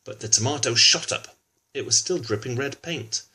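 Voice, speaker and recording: A man with a mid-pitched voice reads a storybook to young children. His delivery is expressive and informal, with little explanatory asides to the listener along the way. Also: normal speed, breathy edge. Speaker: children's book reader.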